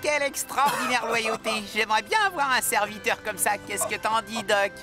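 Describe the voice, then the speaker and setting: A cheeky young cartoon animal, voiced by a man, confident and encouraging. The voice is brisk and upbeat, with music clearly playing behind it. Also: high voice, lightly acted. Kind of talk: French cartoon dub.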